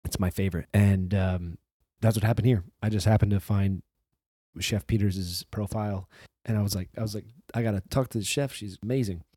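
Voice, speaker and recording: Low, mellow-voiced man, slightly hoarse. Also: steady tone, fairly quick, dry recording, clean studio sound. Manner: rambling, restarts often, swears casually. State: voice recovering from flu, hoarse. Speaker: podcast host. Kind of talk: casual solo intro monologue.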